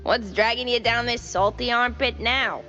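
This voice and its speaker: A woman's voice: a little high, fairly even intonation, normal speed, bright, forceful tone, highs cut off, soft background music. A woman playing a spirited, streetwise young informant in a noir cartoon game, cheeky and familiar. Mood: chummy, teasing.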